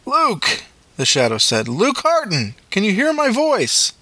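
A man voicing a worried male paramedic who barks at the driver and calms his injured patient. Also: high voice, a little slow, dry recording, pitched above the performer's own voice, heavily acted. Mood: alarmed. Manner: urgent, calls the patient buddy. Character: worried male paramedic, urgent but comforting.

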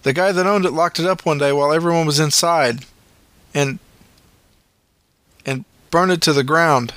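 A man voicing a worried male paramedic who barks at the driver and calms his injured patient. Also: a little high, moderate pace, no echo, pitched above the performer's own voice, close to an everyday voice. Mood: somber. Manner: urgent, calls the patient buddy. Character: worried male paramedic, urgent but comforting.

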